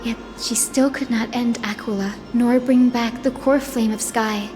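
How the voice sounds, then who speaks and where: A woman's voice: fairly high pitch, fairly even intonation, a little slow, a little mellow, close to an everyday voice. A woman voicing an earnest, hopeful young descendant of sky folk in a video game cutscene, with a solemn, poetic storytelling manner. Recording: background music under the voice.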